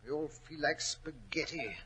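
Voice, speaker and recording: An actor playing a nervous, blustering gentleman sidekick, stammering and fussing through a 1940s radio drama. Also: fairly high pitch, unhurried, close to an everyday voice. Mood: grumbling awe. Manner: stammering, fussy, exclamatory. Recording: noisy old 1940s radio recording.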